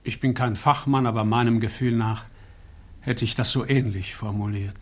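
An actor playing a modest, thoughtful male panel member in a radio play, husky, reflective and polite. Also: medium pitch, steady tone, normal speed, natural voice. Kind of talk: German radio play acting.

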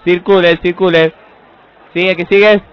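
A man playing a brusque, sarcastic office clerk with an Argentine accent, curt and deadpan, in an improvised radio comedy sketch. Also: high voice, normal speed, clear, resonant tone, lightly acted. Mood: curt, mocking. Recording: dull, muffled live radio recording.

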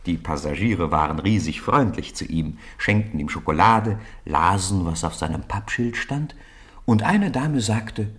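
A man with a very low voice tells a story in the manner of a 1950s radio play. His delivery is measured and expressive, that of a practised narrator.

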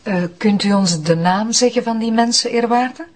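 An actress playing an earnest investigator, polite and pressing in an audio drama. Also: female voice, on the high side, moderate pace, lightly acted. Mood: earnest, urgent. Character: earnest, pleading investigator questioning a witness.

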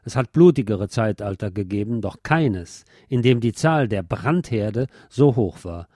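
Male narrator for a formal essay, mellow tone, measured emphasis, dry close sound. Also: lowish voice, animated, dry recording. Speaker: reader of an article. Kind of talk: read-aloud political essay, scripted narration.

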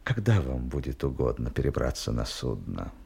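An actor playing a courteous, calculating, gruff sea captain in an audio drama, speaking formally with weighty, deliberate pauses. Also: male voice, rumbling low, very animated, darkish tone, exaggerated voice. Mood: courteous, measured.

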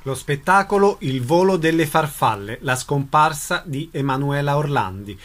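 A man's voice: on the high side, animated, normal speed, fairly bright tone. A man reading a scripted announcement for a radio show.

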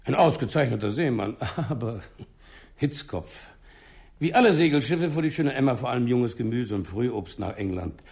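An actor playing an affable, deferential small-town mayor in a 1960s German radio crime drama, talking in a conciliatory, slightly hesitant way. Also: male voice, medium pitch, animated, moderate pace, gravelly, a little mellow. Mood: reflective, informative.

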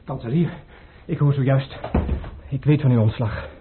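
An actor playing an emotional, loyal prince in a radio play, earnest and theatrical. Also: male voice, mid-range voice, moderate pace, close to an everyday voice. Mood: distressed. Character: emotional, loyal male prince, heir to the throne. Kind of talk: Dutch radio play acting. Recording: noisy, tinny old radio recording.

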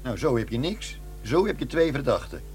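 A man's voice: mid-range voice, big pitch swings, moderate pace, a little mellow, soft background music, lightly acted. A man playing a wry skeptical detective. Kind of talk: 1990s radio drama acting.

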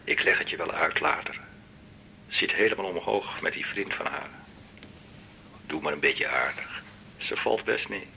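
A weary, easygoing man in a radio drama, talking in short, low-key phrases. The voice is low and heavily gravelly with a sharp edge, on a noisy old recording. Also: big pitch swings, unhurried, pauses often, heavily acted. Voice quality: gravelly, creaky. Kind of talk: naturalistic radio drama dialogue.

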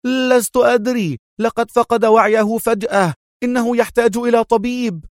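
Guy doing a worried gentleman in a spy tale, high urgent voice, kinda putting on the fright. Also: steady tone, no echo, clean studio sound. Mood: feigned panic. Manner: hurried, loudly alarmed. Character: secret agent feigning frantic alarm as a gentleman.

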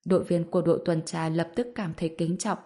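A woman's voice: fairly low pitch, steady tone, fast, dark tone. A woman narrating an audiobook story from a script, voicing the dialogue as she goes.

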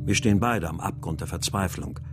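An actor playing a troubled, weary writer in a radio drama, speaking in a sober, measured, introspective way. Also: male voice, sits low, over loud music, lightly acted. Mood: brooding, weary. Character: troubled male writer recounting his daughter's disappearance, brooding, weary. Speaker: narrator and lead character. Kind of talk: radio drama, introspective first-person narration and dialogue.

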